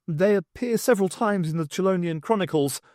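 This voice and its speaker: A man's voice: sits high, a little fast, darkish tone, dry recording, clean studio sound, pitched above the performer's own voice, natural voice. A man voicing an anxious, aghast male professor who explains a dreaded threat in an audiobook. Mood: alarmed.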